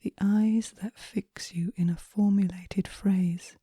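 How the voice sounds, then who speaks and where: A woman recites poetry in a hushed, breathy whisper meant for relaxation. Her delivery is gentle and unhurried, with very little rise and fall. The tone is extremely soft and dark, captured close and dry. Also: mid-range voice, steady tone, no echo. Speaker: poetry reader.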